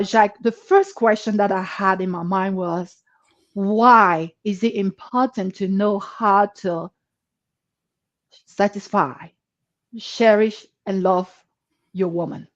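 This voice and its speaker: A woman hosting a live show, friendly, addressing viewers directly and putting questions to her guest. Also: medium pitch. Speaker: host. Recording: dull lo-fi sound.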